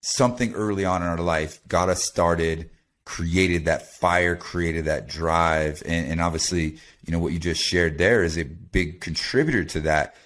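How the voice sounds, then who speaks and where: A man from the United States hosting a podcast, chatty and animated, with lots of restarts. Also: sits low, a little slow. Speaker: podcast host. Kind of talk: casual interview talk.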